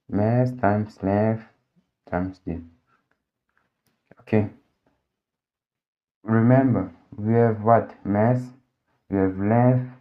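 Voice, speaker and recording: A man whose first language is Portuguese, working through a physics problem step by step as a tutor, thinking aloud with many restarts and fillers. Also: fairly low pitch. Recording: dull lo-fi audio.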